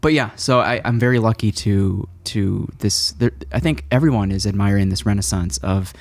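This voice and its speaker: A man hosting an interview podcast, relaxed and curious, asking follow-up questions. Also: lowish voice, normal speed, no room sound. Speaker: podcast host. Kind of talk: casual interview chat.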